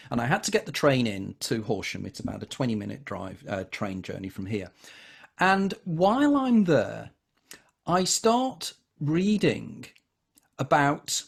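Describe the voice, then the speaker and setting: Gravelly man telling a story. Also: medium pitch. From England. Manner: discursive, recounts anecdotes at length. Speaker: interviewer, author. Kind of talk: casual interview conversation, storytelling.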